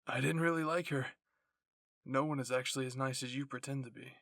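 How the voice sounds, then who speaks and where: A man's voice: mid-range voice, fairly even intonation, fairly quick, vocal fry, fairly bright tone, no echo, clean studio sound. A man voicing an intense, blunt and protective teenage boy soldier in an anime fan fiction roleplay. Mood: blunt, teasing.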